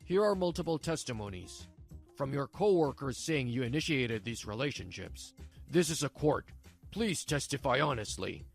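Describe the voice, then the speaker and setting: A man plays a stern court lawyer in a manga dub, with a gravelly, mid-pitched voice. He is formal, firm and unyielding. Faint music plays beneath him. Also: animated, normal speed. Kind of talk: dubbed manga drama acting.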